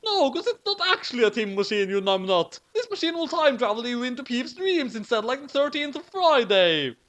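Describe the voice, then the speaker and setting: A snippy young girl inventor acted by a man speaks in a high falsetto. She sounds bossy and scornful, explaining things with lively rises and falls. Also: fairly quick, full character voice.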